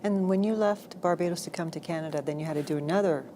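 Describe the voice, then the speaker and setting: A woman's voice: fairly low pitch, quick pace, dark tone, slight background noise. A woman interviewer asking friendly questions in an eager, chatty way. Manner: eager, chatty, laughs easily.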